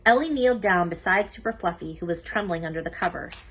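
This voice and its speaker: A woman reading a children's story aloud as narrator, expressive and emphatic. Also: fairly low pitch, quick pace. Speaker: children's book reader.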